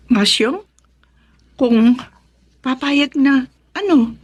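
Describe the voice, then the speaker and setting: A woman's voice: high-pitched, lively intonation, extremely slow, darkish tone, slightly rough, lo-fi sound, exaggerated voice. A woman playing a devout, burdened mother with firm principles in an emotional radio drama, speaking earnestly. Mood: firm, pained. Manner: earnest, pleading.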